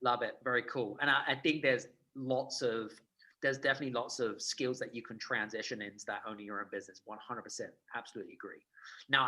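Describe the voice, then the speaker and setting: A man's voice: mid-range voice, pushed and bright, low-quality recording. A man hosting a business podcast, upbeat and encouraging, asking questions. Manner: upbeat, quick affirmations. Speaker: podcast host. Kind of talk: casual interview.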